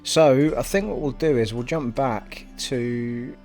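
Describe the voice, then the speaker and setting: A middle-aged man from Scotland with a generic English accent, chatting and thinking out loud as he streams a game. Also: mid-range voice, takes it slowly, faint music behind. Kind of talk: live gameplay commentary. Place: home streaming room.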